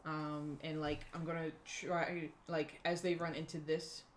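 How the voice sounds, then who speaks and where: Female tabletop player, notably low pitch, casual table talk, slight room noise. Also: flat delivery, a little slow. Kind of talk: casual game-table chat.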